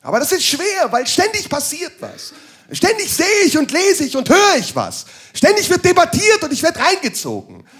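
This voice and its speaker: A man's voice: very high voice, huge pitch swings, moderate pace, fairly bright tone. A man doing a crude, sardonic stand-up monologue that moves into ranting. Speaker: stand-up comedian.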